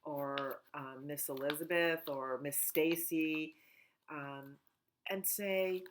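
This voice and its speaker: A middle-aged woman at home, a children's librarian talking gently and in a sing-song way during a storytime. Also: low-pitched, steady tone, takes it slowly, a little hiss or hum. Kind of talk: storytime reading and chat for children.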